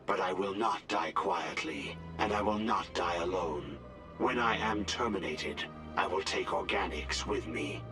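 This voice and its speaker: A man voicing a rogue artificial intelligence in a video game, cold, formal, measured and defiant. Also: low-pitched, over music. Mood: defiant, menacing.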